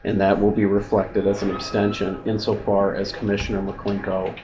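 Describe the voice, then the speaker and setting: A man chairing a formal government meeting as an official, choosing procedural wording carefully and haltingly. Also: lowish voice, monotone. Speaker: county commissioner chairing the meeting. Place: indoor meeting room. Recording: noisy, muffled, echoey meeting-room audio.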